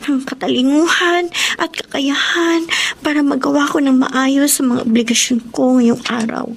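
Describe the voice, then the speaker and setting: A woman's voice: high-pitched, steady tone, moderate pace, vocal fry, a little hiss or hum. An actress playing a hardworking, prayerful young woman, earnest and polite to her elders, in a radio drama. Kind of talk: Tagalog radio drama acting.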